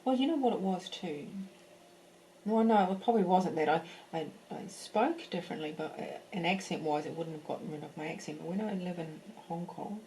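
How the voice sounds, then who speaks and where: Chatty woman teaching painting, mellow voice. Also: on the low side, brisk, slight background noise, poor audio. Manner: chatty, thinks aloud with fillers and restarts. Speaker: art teacher. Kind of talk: live painting class, casual talk. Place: home in a French village.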